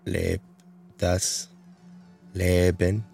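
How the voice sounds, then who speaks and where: A man's voice: low voice, monotone, very slow, vocal fry, exaggerated voice. An actor voicing a brooding man who intones repeated fragments of verse in a radio piece set to music. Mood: brooding, incantatory. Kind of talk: slow, fragmented, repetitive recitation over music.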